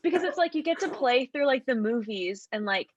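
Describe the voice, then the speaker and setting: A woman's voice: high voice, animated, brisk, dry recording, dull audio. A young adult woman co-hosting a casual podcast over a video call, bubbly and full of "like". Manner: bubbly, lots of "like". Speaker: podcast co-host. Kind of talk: casual chat.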